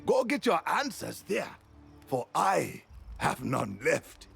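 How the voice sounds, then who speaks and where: An actor playing a cryptic, forgetful old hermit in a video game, musing in riddles with halting phrases. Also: male voice, on the high side, huge pitch swings, vocal fry, fairly bright tone, soft background music, full character voice. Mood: dismissive, weary. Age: older. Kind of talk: video game character dialogue.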